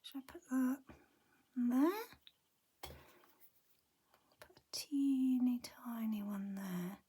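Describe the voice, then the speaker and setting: A slow, unhurried woman walks through a papercraft card at her craft desk, her voice husky and croaky as though she is losing it. She talks in a chatty, casual way and keeps dropping into soft, breathy murmurs while she works. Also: a little high. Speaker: card-making tutor.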